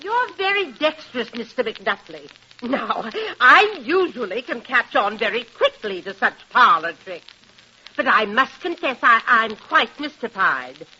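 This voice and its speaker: A prim, genteel lady in an old radio comedy, with a high, bright voice. She is fluttery, formal and earnestly naive, on a muffled recording. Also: big pitch swings, unhurried, husky, full character voice. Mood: earnest, puzzled. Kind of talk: vintage radio sitcom acting.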